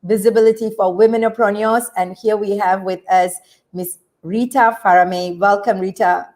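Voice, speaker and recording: A woman hosting an online interview, excited and bubbly in her delivery. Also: medium pitch, normal speed. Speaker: host.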